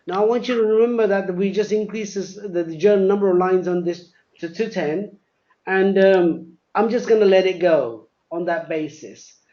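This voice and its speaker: A man presenting a live software demo, explaining step by step, often repeating words and spelling things out. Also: high-pitched, normal speed. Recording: dull, lo-fi webinar audio.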